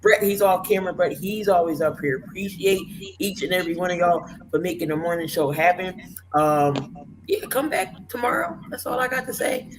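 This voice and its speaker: Male show host, hoarse voice, rambling folksy sign-off, noisy recording. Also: sits high, animated, moderate pace. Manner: loose, rambling, folksy plugs. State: hoarse, voice worn out. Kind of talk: casual sports talk show sign-off.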